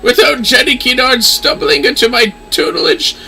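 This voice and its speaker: A man's voice: very high-pitched, big pitch swings, normal speed, pushed and bright, voice pushed up, full character voice. A man voicing a theatrical, grand older professor of astrology from a game, speaking in a lofty, formal way. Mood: amused, theatrical. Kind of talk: game dialogue read-aloud.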